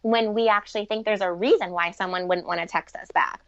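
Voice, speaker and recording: A woman speaks as an expert guest in a conversational interview, explaining things earnestly and fluently. Her voice has a gravelly creak. The recording is dry and close, though somewhat dull and lo-fi. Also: mid-range voice, fairly even intonation, fairly quick, fairly bright tone, no room sound. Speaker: guest relationship expert and author.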